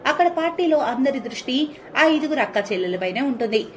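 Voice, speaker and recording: A woman with a fairly high voice narrates a cartoon story. She reads in a scripted storybook style, as the teller of the tale. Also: expressive, fairly quick.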